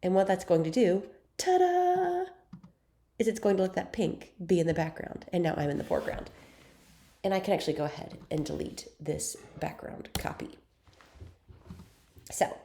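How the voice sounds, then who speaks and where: Raspy congested woman with a low, gravelly voice. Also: sing-song, moderate pace, long pauses, dark tone, a little hiss or hum. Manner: chatty and self-deprecating, frequent ums. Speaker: photography tutorial host. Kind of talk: screen-recorded software tutorial.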